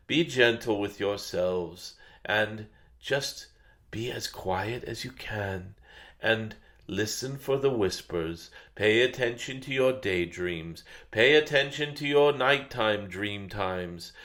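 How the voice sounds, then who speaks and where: A man channeling an ancient, gentle, wise dragon spirit, delivering a spiritual message in a solemn meditative way, with pauses and murmured hums. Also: on the low side. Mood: soothing, solemn.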